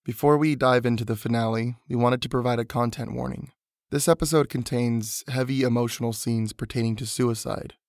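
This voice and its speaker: A man's voice: medium pitch, fairly even intonation, a little fast, a little mellow, dry recording, studio quality. A man reading a scripted content warning as a podcast announcer.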